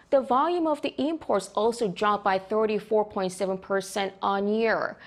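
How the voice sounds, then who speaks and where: A woman news anchor reads short items in a clear, steady voice. Her middle-pitched voice carries a polished, scripted delivery.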